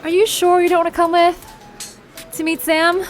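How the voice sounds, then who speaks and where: A woman's voice: very high-pitched, little pitch movement, moderate pace, faint music behind. A woman playing an earnest, anxious, inquisitive young woman in an audio drama, with a hesitant manner. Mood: eager.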